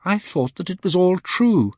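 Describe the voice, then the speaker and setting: A man's voice: expressive, a little slow, darkish tone, no echo. A man voicing a tender, desperate young woman who is a stage actress, in a dry audiobook recording. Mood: pleading. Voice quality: high, pleading.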